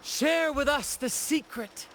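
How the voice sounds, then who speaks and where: An actor voicing a flamboyant, charming young bard and troupe captain in a video game, speaking in a grandiloquent way. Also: male voice, very high voice, slow pace, a bit bright, slight background noise, exaggerated voice. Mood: grand, theatrical. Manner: grandiloquent, theatrical.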